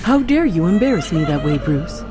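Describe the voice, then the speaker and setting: A woman playing a stern, exasperated mother, scolding in a sharp, threatening way in an audio drama. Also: on the low side, big pitch swings, normal speed, music in the background. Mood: angry, scolding.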